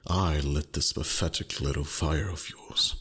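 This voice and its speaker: A man voicing a haughty, teasing male dragon in intimate ASMR roleplay, husky and breathy, condescending with flustered pauses. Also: very deep voice, fairly even intonation, a bit bright, slight background noise. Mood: impatient, teasing.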